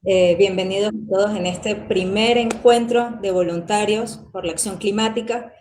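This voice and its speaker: A woman's voice: medium pitch, steady tone, normal speed, a little mellow, echoey room, a little hiss or hum. A woman from Venezuela with a Venezuelan accent, hosting a volunteer training session and giving a formal welcome with frequent "eh" fillers. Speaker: host of a volunteer training webinar.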